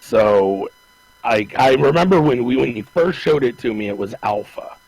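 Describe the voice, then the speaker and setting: A man's voice: medium pitch, lively intonation, moderate pace, darkish tone, breathy edge, dry recording, rough recording. A man co-hosting a casual podcast, talking in a rambling, hesitant way with many restarts. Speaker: podcast co-host. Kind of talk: casual chat.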